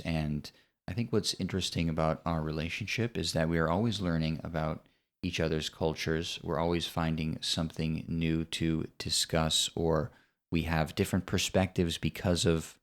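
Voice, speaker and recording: A man in his thirties from the United States, co-hosting a podcast in a measured, articulate way with dry humor. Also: deep voice. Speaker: podcast co-host. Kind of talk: casual podcast chat.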